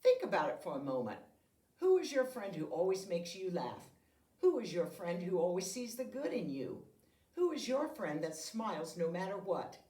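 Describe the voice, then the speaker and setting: A woman reading a children's picture book aloud with deliberate, expressive phrasing meant for young listeners. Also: fairly low pitch. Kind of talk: read-aloud storytelling. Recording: roomy echo, distant microphone.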